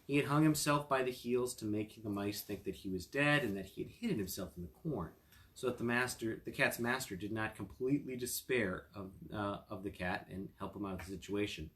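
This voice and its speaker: A man's voice: lowish voice, fairly quick, fairly bright tone. A man reading a fairy tale aloud as a storyteller, with casual asides to his listeners. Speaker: storyteller, attorney.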